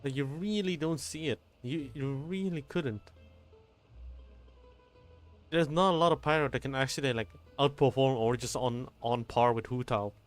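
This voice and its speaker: A man doing casual live gameplay commentary as a streamer, halting and often repeating how his phrases start. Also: medium pitch. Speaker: game streamer. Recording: faint game music behind.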